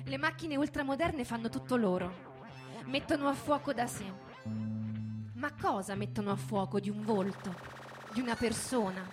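A woman giving a dramatic scripted reading as in radio theatre, in measured phrases with deliberate pauses. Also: on the high side. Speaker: narrator, theatre performer. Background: music.

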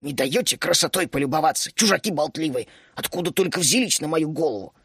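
Мужчина-чтец озвучивает ворчливого жилистого старого гнома, который сердито отчитывает собеседников. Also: male voice, on the high side, expressive, fairly quick, a bit bright, no echo, studio quality, heavily acted. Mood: scolding. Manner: gruff, scolding. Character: grumpy wiry old male gnome.

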